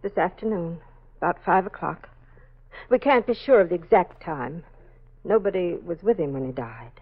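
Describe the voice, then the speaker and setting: A woman playing a guarded doctor's assistant in 1940s radio drama, measured and formal. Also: fairly low pitch, lively intonation, slightly rough. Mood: composed, somber. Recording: thin, muffled old radio recording.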